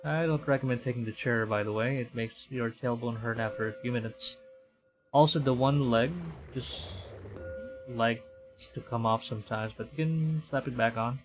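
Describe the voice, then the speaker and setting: A man's voice: medium pitch, moderate pace, lightly acted. Meek poor boy for a visual novel, played by a man, mild, apologetic, faint music behind. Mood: resigned, mild. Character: meek, polite, down-on-his-luck poor boy.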